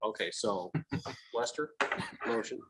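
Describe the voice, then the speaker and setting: A man with a Midwestern American accent chairing a city council meeting, moving briskly through agenda items. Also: fairly low pitch, very noisy. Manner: brisk, procedural.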